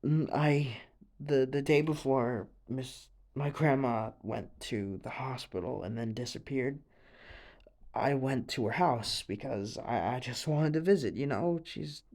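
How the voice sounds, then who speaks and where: A young man playing an anxious, haunted teenage boy in an audio drama monologue, speaking haltingly with stammers, sighs and a creaky voice. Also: mid-range voice, a little mellow. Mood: nervous, confiding. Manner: halting, stammering, sighing, nervous breathy laughs.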